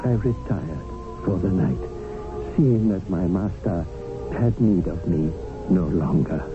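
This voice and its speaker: An actor playing a deferential servant who tells a tale in a measured, formal manner in an old radio drama. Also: male voice, sits low, lively intonation. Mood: solemn, measured. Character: deferential male servant telling his master's tale. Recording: tinny narrow-band radio broadcast, music behind.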